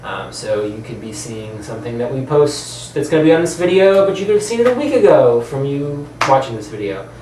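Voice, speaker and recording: A man chats casually as the host of a video channel, rambling a little in an informal way. His voice has an ordinary male pitch. It is picked up from a distance in a roomy, echoing space. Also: very animated, brisk, few pauses. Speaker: host of a video channel about films and games. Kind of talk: casual chat. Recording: roomy echo, distant mic, faint noise.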